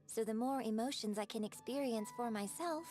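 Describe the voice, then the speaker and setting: A woman's voice: on the high side, brisk, darkish tone, natural voice. A woman playing a courteous young opera performer in a fantasy video game, speaking in a formal, reflective manner. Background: game music.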